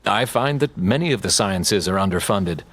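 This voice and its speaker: A man's voice: on the low side, lively intonation, normal speed, fairly bright tone, no room sound. An actor voicing a serious, earnest male crewmate scientist who lectures on science funding.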